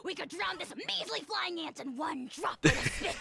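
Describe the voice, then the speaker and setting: A woman's voice: very high voice, lively intonation, normal speed, vocal fry, a crowd in the background, heavily acted. A woman voicing a tiny, bratty, pompous dragon lord in a video game, grandiose and full of threats. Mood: furious.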